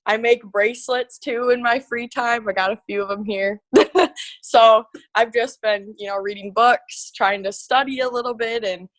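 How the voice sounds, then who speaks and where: A woman's voice: on the high side, steady tone, moderate pace, dry recording. A woman of about twenty with an American accent, chatting casually as a college athlete guest. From Minnesota, United States. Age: around 20. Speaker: guest, college tennis player. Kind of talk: casual chat.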